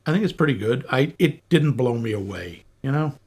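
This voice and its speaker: A man co-hosting a casual film podcast, joking and self-mocking, quick to laugh. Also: mid-range voice, expressive, darkish tone, slightly rough. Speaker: podcast co-host. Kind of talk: casual film review chat.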